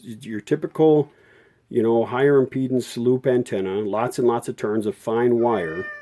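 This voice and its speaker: A man hosting an informal repair tutorial, explaining technical details off the cuff in a halting way and often correcting himself. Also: mid-range voice, mellow. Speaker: host of a vintage radio repair tutorial. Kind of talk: unscripted hands-on technical explanation.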